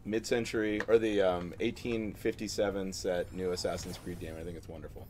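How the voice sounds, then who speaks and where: Low-voiced man joking on a stream. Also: steady tone, a little fast, darkish tone, slight background noise. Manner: playful, mock-serious fake announcements. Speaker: stream co-host. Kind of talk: casual livestream chat.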